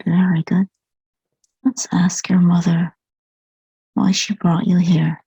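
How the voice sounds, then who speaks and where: A woman hypnotherapist guiding a regression session in a soft, whispery way. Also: lowish voice, little pitch movement, slow, creaky, husky, dry recording, highs cut off. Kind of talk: guided regression.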